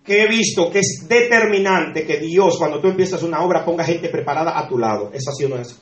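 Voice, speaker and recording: A man with a Dominican Spanish accent, a pastor preaching an exuberant sermon with shouts of praise and laughter. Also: fairly high pitch, fast. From Dominican Republic. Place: church hall. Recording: echoey church with congregation.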